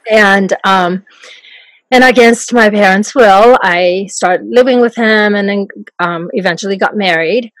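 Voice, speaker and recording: A middle-aged woman from Japan with a Japanese accent, speaking softly as a podcast guest and laughing often as she talks. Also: medium pitch, unhurried, no echo, rough recording. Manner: soft-spoken, laughs often while talking. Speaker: guest, life coach. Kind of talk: podcast interview.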